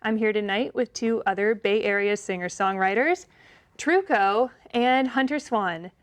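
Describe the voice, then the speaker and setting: A woman's voice: mid-range voice, unhurried. Chatty American woman hosting. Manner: chatty, informal. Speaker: host. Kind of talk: casual showcase hosting.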